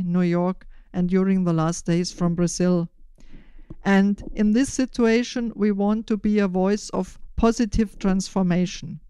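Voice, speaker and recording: An older woman with a German accent speaking deliberately and in measured phrases as a podcast host, giving prepared interview answers. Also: medium pitch, warm tone, clean tone, no room sound.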